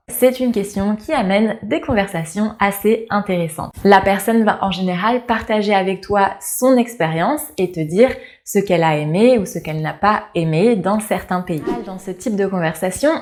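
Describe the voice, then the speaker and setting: A young French woman from Paris, a French teacher, giving a lesson to camera and slowly repeating each model phrase clearly. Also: medium pitch, darkish tone. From France.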